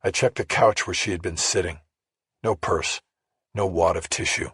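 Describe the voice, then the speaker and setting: Husky low-voiced male crime audiobook narrator. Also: steady tone, moderate pace, fairly bright tone, dry recording, studio quality. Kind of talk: scripted first-person crime thriller narration.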